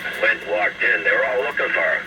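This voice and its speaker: A man telling a casual anecdote, heard through a staticky sideband radio transmission. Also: fast talker. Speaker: CB radio operator. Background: loud radio static.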